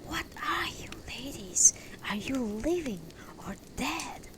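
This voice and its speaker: A woman's voice: sits high, lively intonation, slow pace, noisy background, pitched above the performer's own voice. A woman voicing a proud, indignant elderly knight of Arthur's court in a storybook reading, speaking in a courtly, formal way. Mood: uneasy.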